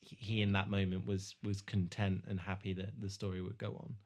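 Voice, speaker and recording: A man hosting a podcast, casually discussing film in a tentative, halting way and often posing questions. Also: low voice, level tone, a little mellow. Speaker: podcast host.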